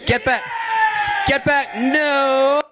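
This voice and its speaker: A man doing stand-up on a club stage, chatting with the crowd and sometimes shouting. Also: extremely high pitch, lively intonation, drawn-out words, poor audio. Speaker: stand-up comedian. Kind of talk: stand-up set. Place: comedy club stage with a live audience.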